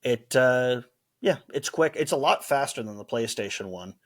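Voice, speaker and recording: A man's voice: mid-range voice, expressive, moderate pace. A man co-hosting a casual podcast, chatting with nostalgic enthusiasm and restarting his sentences often. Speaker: podcast co-host. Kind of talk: casual chat.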